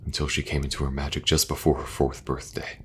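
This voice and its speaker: A man plays a benevolent fantasy king with an extremely deep voice. He speaks earnestly and in measured phrases, like an older brother in an audio drama. There is noticeable noise behind him. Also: completely flat delivery. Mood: solemn. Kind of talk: fantasy audio roleplay acting.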